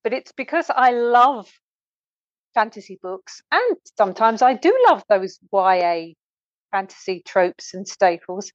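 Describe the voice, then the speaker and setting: A woman's voice: mid-range voice, animated, measured pace, no room sound. A middle-aged woman author giving a conversational interview, thoughtful and informal, on a lo-fi recording. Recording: dull, lo-fi remote sound.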